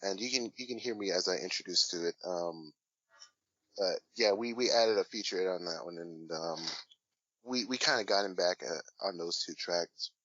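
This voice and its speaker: Low-voiced man with a bright, forceful edge. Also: moderate pace, no echo, muffled recording. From Washington State, USA. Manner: rambling, hesitant with many fillers. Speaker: guest, hip hop artist. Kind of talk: casual interview talk.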